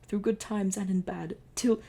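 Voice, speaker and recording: A woman's voice: mid-range voice, fairly even intonation, normal speed, creaky, dark tone, pitched above the performer's own voice. A woman voicing a grieving young male pharaoh, tender and desperate, read out melodramatically. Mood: devoted. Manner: melodramatic reading of dialogue.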